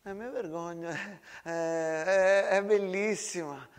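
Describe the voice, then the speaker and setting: A man playing a shy, lovestruck soldier, speaking softly and haltingly in a theatrical monologue. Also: high voice, clear, resonant tone. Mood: bashful. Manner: halting, embarrassed, soft. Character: shy, lovestruck ordinary soldier, bashful and hesitant.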